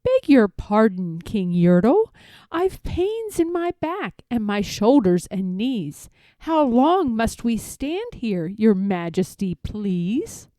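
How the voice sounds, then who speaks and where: Woman as a plain little turtle, humble, suffering, pleading groans, high pitch, slow storybook delivery. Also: big pitch swings, dark tone, no echo. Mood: meek, pleading. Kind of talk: rhyming storybook read-aloud.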